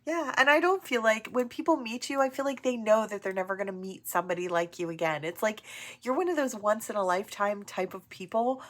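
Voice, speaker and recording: A woman with a stuffy nose from allergies, gently giving a channeled tarot reading full of affirmations, often pausing with "um" and "right?". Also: mid-range voice. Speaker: tarot reader. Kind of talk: channeled oracle reading to camera.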